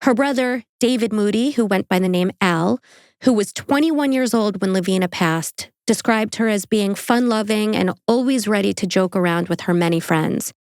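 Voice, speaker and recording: A woman's voice: mid-range voice, moderate pace, no echo, studio quality. A woman with a New York accent hosting a true crime podcast, reading the case and breaking off for casual, joking asides.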